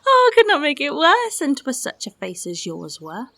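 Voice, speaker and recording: A woman's voice: high-pitched, wildly swooping pitch, measured pace, bright, forceful tone, heavily acted. A woman playing a witty, scornful young noblewoman in a Shakespearean radio play. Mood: mocking, amused. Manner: witty, scornful, teasing delivery with laughter. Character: sharp-tongued, mocking, spirited young noblewoman.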